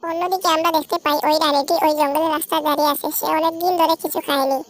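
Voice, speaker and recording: A woman narrating a cartoon story in a high, quick voice. Her tone stays level, with little rise and fall. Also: steady tone. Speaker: narrator voicing all parts.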